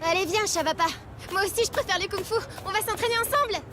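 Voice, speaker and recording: A woman's voice: very high voice, quick pace, background noise. A woman voicing a friendly, cheerful and chatty little girl in a children's cartoon. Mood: upbeat. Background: music.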